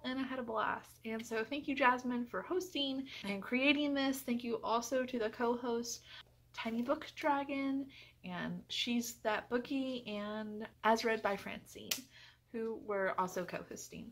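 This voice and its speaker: A woman's voice: on the high side, a little hiss or hum. A woman giving a casual book review to viewers in an earnest, chatty, emphatic way.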